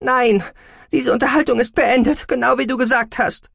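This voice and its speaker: A man's voice: sits high, very animated, moderate pace, creaky, husky, dry recording, clean studio sound, voice pushed up, exaggerated voice. A man voicing a hot-tempered, defiant adolescent girl in an audiobook, indignant and emphatic. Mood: furious, defiant. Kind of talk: dramatic audiobook character acting.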